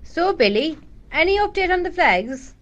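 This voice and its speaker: A woman's voice: extremely high pitch, big pitch swings, normal speed, dull audio, background noise. A woman playing an upbeat, bossy Irish leader of a secretive group in a radio drama. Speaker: actor in an amateur radio drama.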